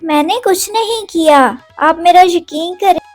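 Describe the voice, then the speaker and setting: Woman playing a meek, high-voiced poor mother bird. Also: measured pace, faint music behind. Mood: pleading. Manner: humble, pleading. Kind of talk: Hindi cartoon story dialogue.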